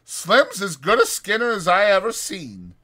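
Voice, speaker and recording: High-pitched, excitable old farmhand. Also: male voice, unhurried, full character voice. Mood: eager. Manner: rustic, ungrammatical drawl, indignant and insistent. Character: old crippled swamper man, stubborn, excitable dreamer. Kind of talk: dramatized audiobook character voice.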